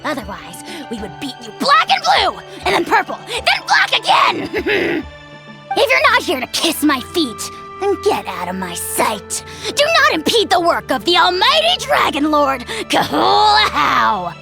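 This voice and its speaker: A tiny self-styled dragon overlord from a video game, haughty and bombastic. The high voice leaps dramatically up and down as it sneers, with music playing clearly behind it. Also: huge pitch swings, unhurried, exaggerated voice. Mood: furious, boastful. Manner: pompous, imperious royal "we", insulting. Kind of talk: video game character acting.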